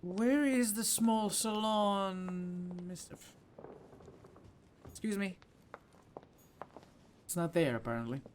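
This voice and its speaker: A man's voice: high voice, takes it slowly, pauses often, slight background noise. A man doing casual game commentary, playful, with muttered asides and exclamations. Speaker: gaming video host.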